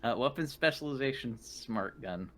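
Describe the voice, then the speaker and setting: A man's voice: mid-range voice, expressive, moderate pace, slight background noise. A man chatting casually as a player in a tabletop roleplaying game.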